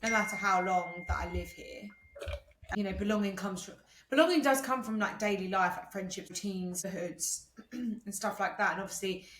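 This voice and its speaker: A young woman from England co-hosting a podcast, talking in a relaxed, reflective way with many fillers. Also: medium pitch, moderate pace, slight background noise, rough recording. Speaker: podcast co-host. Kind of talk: casual chat.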